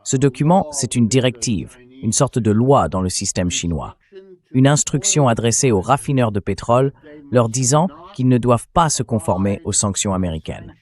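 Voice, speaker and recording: A man's voice: medium pitch, animated, normal speed, darkish tone. A man's synthetic AI voice-over delivering conversational, explanatory political commentary as a dubbed interview.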